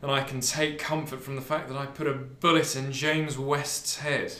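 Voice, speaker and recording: A man's voice: medium pitch, level tone, normal speed, pushed and bright, close to an everyday voice. A man playing a grieving, determined intelligence agent in earnest, conversational radio drama dialogue. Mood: bitter, subdued. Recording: echoey room.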